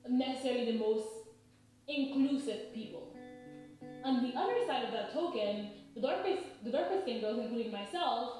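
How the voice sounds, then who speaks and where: A woman in her thirties from Haiti, explaining in a measured, lecturing way and often checking in with "right?". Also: on the high side, darkish tone, soft background music. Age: 30s. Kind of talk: explanatory talk. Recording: very echoey room.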